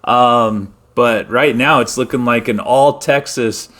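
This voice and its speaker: A man's voice: medium pitch, slow pace, fairly bright tone. A middle-aged man hosting a casual sports talk show, laughing easily and steering the topics. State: injured wrist. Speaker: host.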